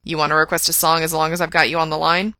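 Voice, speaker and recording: Woman voicing a forceful, flustered male punk radio DJ. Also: deep voice, flat delivery, quick pace, dry recording, studio quality. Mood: on-air patter. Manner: emphatic, blunt, on-air patter.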